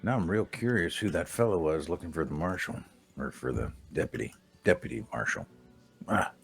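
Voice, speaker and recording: A man with a gravelly voice roleplaying a drifter in a western game, using folksy frontier phrasing and teasing remarks. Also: sits low, big pitch swings, a little fast, soft background music. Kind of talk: in-character western roleplay chat.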